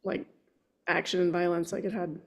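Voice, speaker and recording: A woman's voice: on the low side, level tone, moderate pace. A woman talking casually about films in a group discussion. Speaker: table read participant.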